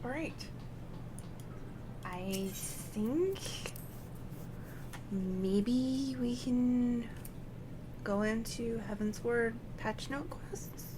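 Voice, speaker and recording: A woman streaming a game, giving casual live commentary in a quiet, offhand, dryly joking way. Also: a little high, drawn-out words, pauses often. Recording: clear background noise from game.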